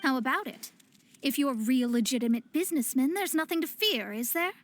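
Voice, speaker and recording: High female voice, haughty young astrologist, anime game character, lofty archaic diction, proud and theatrical. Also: expressive, fairly quick, slight background noise. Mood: stern, challenging. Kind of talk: anime-style video game voice acting.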